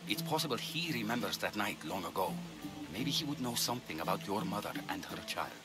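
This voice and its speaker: A solemn, compassionate ancient physician, voiced by a man in a deep voice, earnest and haunted like a dramatic game character. Loud music plays underneath. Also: lively intonation, a little fast, natural voice. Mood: confiding. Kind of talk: dramatic video game voice acting. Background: loud background music.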